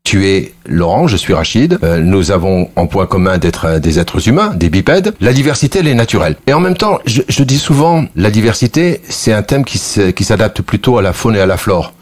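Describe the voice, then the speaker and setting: A man in his sixties from Algeria, with Kabyle as his first language, giving thoughtful interview answers as a former journalist, often pausing and restarting. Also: on the low side, sing-song. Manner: thoughtful, frequent restarts and hesitations.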